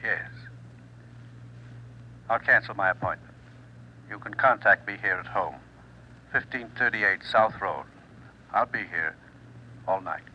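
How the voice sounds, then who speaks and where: A man's voice: on the low side, measured pace, long pauses, dull audio. An American man playing a polite, guarded doctor, formal and hesitant, through a telephone filter in old radio drama style. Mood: guarded, uneasy. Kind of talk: mid-century radio drama acting.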